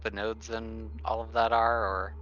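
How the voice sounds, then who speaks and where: A man's voice: lowish voice, little pitch movement, a little slow, fairly bright tone, slight background noise. A man casually asking questions as a player in a tabletop roleplaying game. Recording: slightly dull lo-fi mic.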